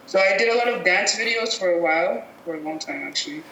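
A woman from Cameroon speaks in a bright, forward voice. She rambles casually and corrects herself mid-thought. The sound is roomy, with a faint hiss of background noise. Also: medium pitch, fast, muffled recording. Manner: rambling, self-correcting, thinking aloud. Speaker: guest videographer. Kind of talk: casual interview answers. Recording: echoey room with faint noise.

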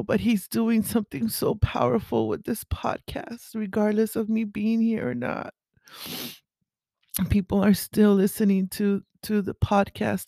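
Female podcast host, raspy and creaky, earnest, halting delivery for a personal faith monologue. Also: medium pitch, warm tone, no echo. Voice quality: raspy early-morning voice. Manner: halting, earnest, with throat clearing.

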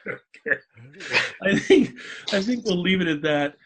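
A man's voice: high voice, lively intonation, measured pace, slight background noise. A man hosting a podcast in casual chat, talking in an informal, joking way. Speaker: podcast host.

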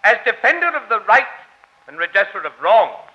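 A gallant, fussy little gentleman on a dull old radio recording. His voice is forceful and swoops widely, and his diction is pompous and florid, in 1940s radio style. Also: sits high, big pitch swings, moderate pace, heavily acted. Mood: indignant, righteous. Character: small, fussy, gallant would-be crime-fighting eccentric gentleman.

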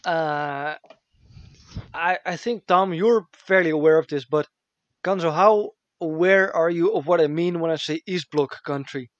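A Dutch man speaking English with his accent, his voice sitting a little high for a man. He rambles loosely with lots of fillers and giggles. The sound is dull and very dry. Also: measured pace, no room sound. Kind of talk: casual chat.

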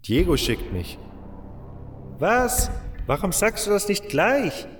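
A man's voice: high-pitched, sing-song, slow, big-room sound, slight background noise, voice pushed up, heavily acted. A man voicing an irritable, impatient male mine overseer who barks and scolds, in an audiobook. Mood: irritated, impatient. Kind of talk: audiobook character voice.

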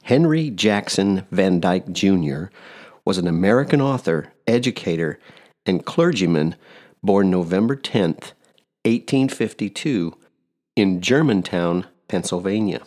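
Slow, low-voiced man hosting a podcast. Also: animated, a little mellow. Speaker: podcast host. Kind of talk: scripted podcast narration.

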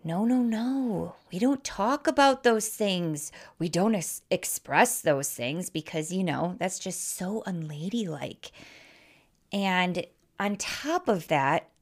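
A woman's voice: fairly low pitch, takes it slowly. A woman with a Midwestern American accent hosting a podcast monologue, speaking candidly and informally with emphatic, persuasive delivery. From Wisconsin, American Midwest. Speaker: life coach, podcast host.

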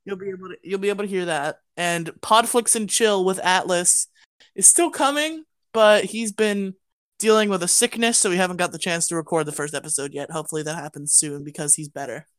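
A woman's voice: fairly low pitch, a little fast, fairly bright tone, no echo. A woman hosting a casual podcast, playfully rambling through the outro plugs. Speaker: podcast host.

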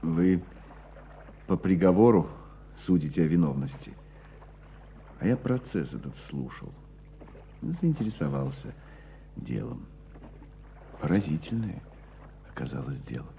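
A man acting in a radio play as a knowing hunter who tells a story with deliberate emphasis. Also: low voice, very animated, gravelly, dark tone, slight background noise, full character voice. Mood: measured, wry. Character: knowing male hunter recounting an old court case. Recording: dull, muffled radio play sound.

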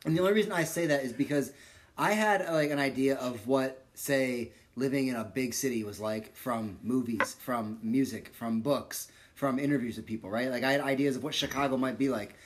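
A man's voice: mid-range voice, normal speed. A man in his thirties co-hosting a casual podcast, who talks over the others, repeats "listen" to take the floor and swears as he makes his point. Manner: insistent, keeps cutting in with repeated "listen", swears. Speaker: podcast co-host. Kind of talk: casual group debate.

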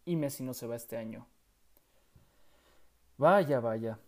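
A man's voice: medium pitch, slow pace. A young man hosting a sports commentary show, reading from a script in an opinionated way and asking many rhetorical questions. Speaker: sports podcast host.

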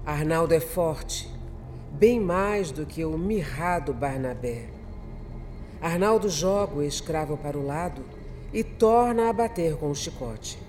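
A man narrating a scripted radio drama in a deliberate, dramatic way. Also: on the high side, animated, darkish tone, slight background noise.

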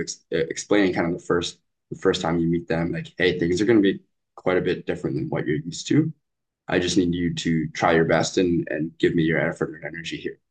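A man's voice: sits low, breathy edge, low-quality recording. A man from British Columbia, Canada, a basketball coach talking earnestly as a podcast guest, with frequent fillers and restarts. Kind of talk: casual podcast interview. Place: Vietnam.

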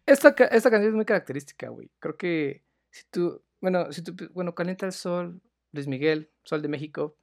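A man with a Mexican accent, co-hosting a casual chat about a TV series, full of slang and trailing into low mutters. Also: lively intonation, brisk, creaky. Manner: slangy, says "güey" a lot, drops to a mutter. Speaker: podcast co-host.

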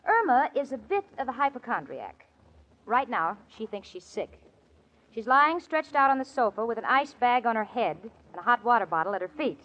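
A woman's voice: fairly high pitch, moderate pace. An actress playing an exasperated, wisecracking young woman in a 1940s radio comedy. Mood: wry confiding. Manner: wry, expressive, exasperated. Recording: dull old radio recording, live audience, noise.

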